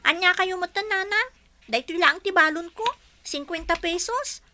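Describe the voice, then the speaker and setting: A woman's voice: very high-pitched, moderate pace, sharp tone, soft background music, full character voice. A woman voicing a small, whining, dissatisfied schoolchild in an Ilocano-accented radio drama. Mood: whining complaint.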